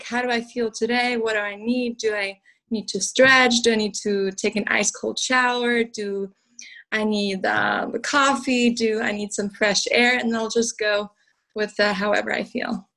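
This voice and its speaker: A young woman from southern Switzerland, a podcast guest, talking casually and filling pauses with um and like. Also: a little high, poor audio. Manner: relaxed, uses um and like often. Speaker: guest, cookbook author. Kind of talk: casual interview talk.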